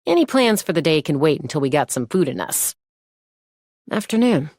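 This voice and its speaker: A woman voicing a confident young female gunslinger and peacemaker in a video game, with a cool, measured, self-assured delivery. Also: deep voice, sing-song, darkish tone, no room sound, studio quality. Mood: relaxed, friendly. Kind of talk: scripted game character voice lines.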